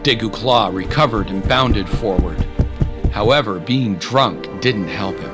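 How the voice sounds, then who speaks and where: A man's voice: lowish voice, a little slow. A man narrating a scripted adventure story in a dramatic style. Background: loud music.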